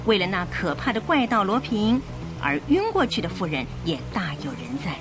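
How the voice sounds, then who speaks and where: A woman's voice: medium pitch, very animated, moderate pace, over music. A woman narrating a scripted radio drama in a theatrical storytelling manner.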